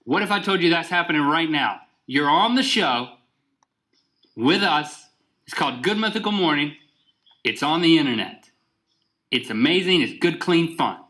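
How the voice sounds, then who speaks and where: A man plays a pushy magician's sidekick. His voice sits high and sharp as he insistently quizzes and coaxes, taking the act completely seriously. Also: animated, moderate pace, long pauses. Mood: coaxing, insistent. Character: pushy, deadly serious magician's partner, eager to be understood. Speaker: prank caller, show host. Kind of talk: improvised comic prank call.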